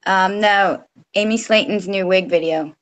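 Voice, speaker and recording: A woman's voice: medium pitch, a little slow. Woman guest on a stream, kinda shy, hesitant, trails off mid thought. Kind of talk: casual chat.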